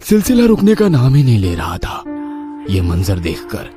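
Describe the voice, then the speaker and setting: A man's voice: lowish voice, huge pitch swings, moderate pace. A man narrating a scripted horror story in a dramatic, suspenseful manner. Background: background music.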